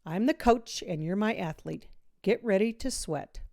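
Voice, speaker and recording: A woman reading a children's story, voicing an energetic, no-nonsense woman coach with an emphatic, driving delivery. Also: mid-range voice, unhurried, gravelly, no room sound, clean studio sound. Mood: firm, rallying. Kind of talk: children's audiobook character voice.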